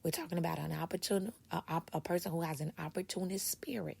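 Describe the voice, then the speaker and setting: A woman who writes and speaks on leadership, giving a casual motivational talk to her viewers in a hoarse voice, stressing her points by repeating them. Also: fairly low pitch, fast, a little mellow. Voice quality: raspy, husky. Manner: emphatic, repeats key points.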